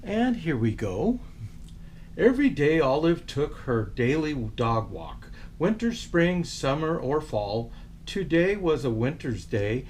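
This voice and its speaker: A man reading a children's picture book aloud as a storyteller, expressive and unhurried. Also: on the high side, a little hiss or hum.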